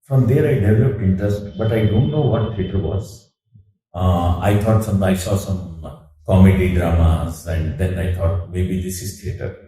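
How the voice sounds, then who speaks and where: An older man with an Indian English accent whose first language is Urdu, answering interview questions in a deliberate, halting way with many fillers. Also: sits low, mellow, very echoey, far from the mic, poor audio. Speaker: theatre director and voice artist.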